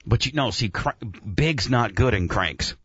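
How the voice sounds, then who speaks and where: A man's voice: mid-range voice, expressive, measured pace, fairly bright tone, husky, no room sound. A man hosting a casual radio show about auto racing, talking in a slangy, informal and opinionated way.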